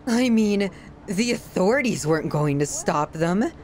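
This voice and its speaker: Sadistic yandere lover, played by a woman for horror ASMR: obsessive, menacingly affectionate, very lively intonation, unhurried. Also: mid-range voice, slight background noise, close to an everyday voice. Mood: scornful, self-justifying. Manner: theatrical, swings from sweet to scornful, sighing. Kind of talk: ASMR horror roleplay monologue.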